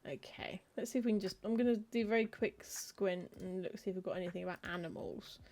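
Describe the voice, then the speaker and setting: Chatty woman, soft mellow voice. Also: mid-range voice, fairly even intonation, fast talker. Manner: chatty, wry, muttering lists of titles to herself. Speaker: streamer playing a cozy game. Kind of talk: casual gameplay commentary, reading game text aloud.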